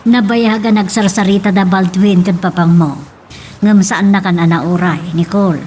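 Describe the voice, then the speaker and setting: A woman's voice: medium pitch, steady tone, moderate pace, a little mellow, soft background music, natural voice. An actress playing a concerned, understanding mother in an Ilocano radio drama.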